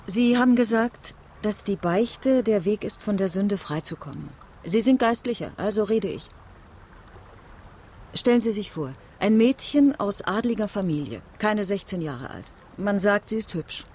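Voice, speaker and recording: An actress playing a worldly, bitter French marquise in a radio drama, eloquent, ironic and probing. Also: female voice, mid-range voice, animated, unhurried, a little mellow, slightly rough. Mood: ironic, provocative. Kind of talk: German radio drama acting.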